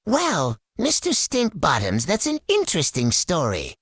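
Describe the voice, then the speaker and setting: A woman's voice: low voice, wildly swooping pitch, measured pace, slightly rough, no echo, studio quality. A woman hosting a playful, improvised puppet show in a theatrical manner.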